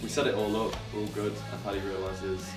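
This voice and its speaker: Flat, deep-voiced 18-year-old man. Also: fast talker. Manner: casual, rambling storytelling. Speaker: band's lead singer and guitarist. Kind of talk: documentary interview. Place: band practice room. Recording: echoey room, far from mic, music behind.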